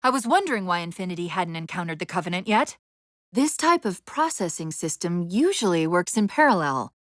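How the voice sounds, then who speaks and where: An actress playing a female military AI companion in a video game, urgent and witty but fraying under strain, with crisp tactical delivery and dry sarcasm. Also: on the low side, big pitch swings, fairly quick, no echo. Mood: focused, briefing. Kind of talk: dramatic game voice acting.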